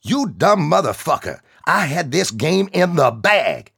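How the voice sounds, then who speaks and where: A man voicing an enraged, hulking young army private who bullies and yells. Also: on the high side, sing-song, measured pace, creaky, slightly rough, no echo, clean studio sound, voice pushed up, heavily acted. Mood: furious. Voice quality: loud, bullying.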